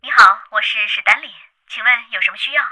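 An actress playing a sharp-tongued, brisk female colleague in a radio drama, biting and clipped, through a telephone filter. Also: fairly high pitch, rough recording.

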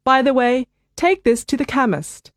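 A woman voicing a calm, reassuring family doctor in a scripted listening dialogue. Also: sits high, no room sound, clean studio sound. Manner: clear, measured, reassuring delivery.

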